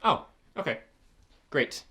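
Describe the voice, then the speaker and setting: A man's voice: takes it slowly, pushed and bright. A young man playing a haunted student writer in a comedy web series, flustered and awkwardly hesitant. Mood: flustered, exasperated. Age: young adult.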